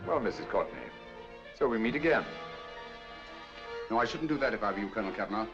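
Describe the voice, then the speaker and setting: An actor playing a cool, brilliant middle-aged detective in 1940s film style, precise and dryly ironic. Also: male voice, on the low side, animated, fast, lightly acted. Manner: crisp, dry, ironic, precise. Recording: dull lo-fi 1940s film soundtrack with music.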